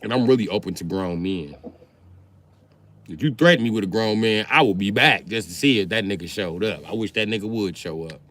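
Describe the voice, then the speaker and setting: A man's voice: lowish voice, brisk, a bit bright. A middle-aged man livestreaming a profane, boastful comedic rant.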